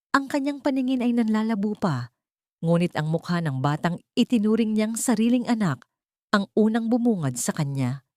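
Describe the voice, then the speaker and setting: A woman narrates a dramatic love story in a low register. The sound is dry, with no room on it, and the delivery is that of a scripted audiobook storyteller. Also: darkish tone, dry recording.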